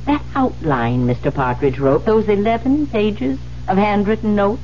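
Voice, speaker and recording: Woman playing a pointed secretary, animated delivery. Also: medium pitch, moderate pace, slight background noise, natural voice. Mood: triumphant. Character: mild-seeming secretary, quietly defiant and menacing. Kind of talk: 1970s radio drama acting. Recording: dull, humming 1970s radio recording.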